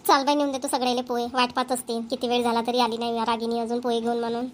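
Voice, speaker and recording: A woman's voice: on the high side, level tone, fast talker, close to an everyday voice. A woman playing an overworked, plaintive village housewife in a family cartoon, with a rural Varhadi Marathi accent. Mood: complaining. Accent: Maharashtrian, rural Varhadi Marathi.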